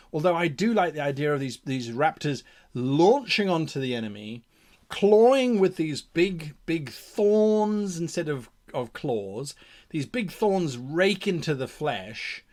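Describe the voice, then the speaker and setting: A man hosting an advice show in a casual, enthusiastic explaining style, with theatrical emphasis. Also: a little high. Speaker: host of a game mastering advice show.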